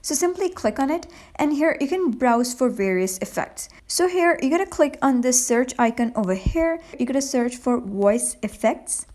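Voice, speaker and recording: Higher-pitched woman narrating a tutorial. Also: lively intonation, normal speed, hardly any pauses, darkish tone. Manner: instructional, addresses the viewer directly. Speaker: tutorial presenter. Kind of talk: scripted step-by-step software tutorial narration.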